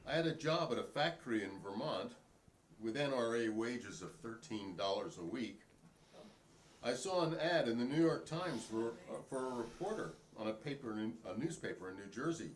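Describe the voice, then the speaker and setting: A man's voice: mid-range voice, a little hiss or hum, natural voice, rough recording. A man playing a plainspoken, wry printer testifying politely and pointedly in a dramatic reading of a 1950s hearing.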